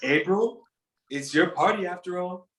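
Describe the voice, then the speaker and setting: A man's voice: high voice, unhurried, a bit bright, echoey room, a little hiss or hum. A man reading the part of a laid-back teenage boy at a party during a table read. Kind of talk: table read of a teen drama.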